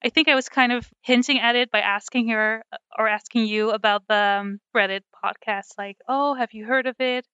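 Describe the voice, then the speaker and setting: Dutch woman with a bright, crisp voice. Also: on the high side, steady tone, normal speed, few pauses, no room sound, lo-fi sound. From Netherlands. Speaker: podcast co-host. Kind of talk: casual chat.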